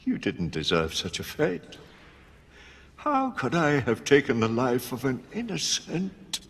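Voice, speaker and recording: A man's voice: mid-range voice, very animated, measured pace, full character voice. An actor playing a grave, tormented aging Lord Chancellor and father in a video game drama, speaking solemnly and formally. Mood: anguished, sorrowful. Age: older. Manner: solemn, formal, weighty delivery. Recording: long hall reverb, clear background noise.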